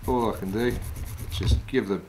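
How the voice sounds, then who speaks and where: A middle-aged man from England explains fly tying step by step in a calm, practical way. His voice sits fairly low. He was recorded indoors in a wooden lodge, with a faint hum of room ambience behind him. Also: moderate pace, low-quality recording. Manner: calm, practical, talks while working with his hands. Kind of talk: step-by-step demonstration.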